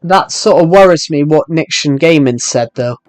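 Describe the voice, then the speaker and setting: A man's voice: a little high, normal speed, dry recording. A young man in his twenties with a thick British accent, chatting casually on a game stream and rambling as he answers viewers' questions. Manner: rambling, restarts sentences while answering chat questions. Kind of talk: casual live stream chat.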